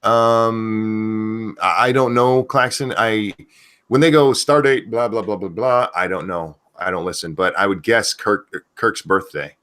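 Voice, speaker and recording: Man, livestream host, blunt, profane, halting restarts, casual chat. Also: on the low side, fairly bright tone, clear, resonant tone. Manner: blunt, cursing, self-assured, halting restarts. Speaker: show host.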